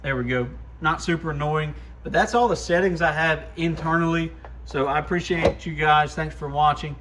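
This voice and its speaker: Casual man with a slightly high voice. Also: steady tone, moderate pace, a bit bright. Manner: informal, addresses viewers as guys. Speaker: shop owner presenting radio product demos. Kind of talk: casual hands-on product review.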